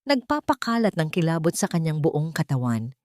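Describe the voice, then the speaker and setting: A woman narrating a scripted dramatic story in a measured, theatrical way. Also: low-pitched, sing-song, a little mellow, dry recording.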